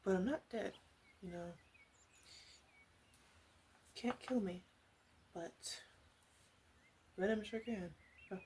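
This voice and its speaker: A woman playing a flirty, caring witch in an ASMR roleplay, soft-spoken and often whispering, with a gentle, intimate, hesitant way of talking. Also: medium pitch, takes it slowly, pauses often, a little hiss or hum. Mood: chatty, wry.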